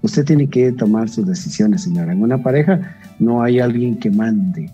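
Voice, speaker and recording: A middle-aged man with a Guatemalan Spanish accent, a doctor calmly answering call-in questions with frank advice and rhetorical questions. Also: medium pitch, moderate pace, lo-fi sound, faint music behind. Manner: calm, explanatory, asks rhetorical questions. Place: home room on a video call.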